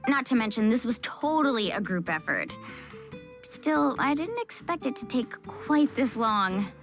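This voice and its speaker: Casting: breezy young game heroine, high voice, lively swooping delivery, peppy and friendly. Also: female voice, a little slow, soft background music. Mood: upbeat. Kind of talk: animated game voice acting.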